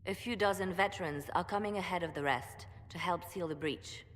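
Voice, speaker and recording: A woman plays a calculating female spymaster in a fantasy game. Her voice is somewhat low, controlled and courteous. It sits in a lightly reverberant hall. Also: brisk, fairly bright tone, close to an everyday voice. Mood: calm, watchful. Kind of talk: video game cutscene acting.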